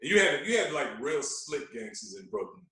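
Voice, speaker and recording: A man's voice: mid-range voice, big pitch swings, normal speed, fairly bright tone. Echoey, distant-sounding New man telling stories. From Queens, New York. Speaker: podcast guest, radio personality. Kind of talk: animated casual storytelling. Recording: very echoey room, distant from mic.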